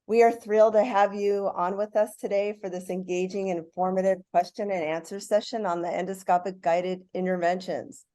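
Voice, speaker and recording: A woman's voice: medium pitch, flat delivery, fairly quick, hardly any pauses, mellow. A middle-aged woman hosting and moderating a panel with a formal, welcoming script.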